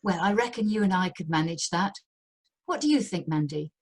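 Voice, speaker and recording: A woman's voice: fairly low pitch, lively intonation, fairly quick, slightly rough. A woman playing a sceptical but willing society member in an amateur radio play.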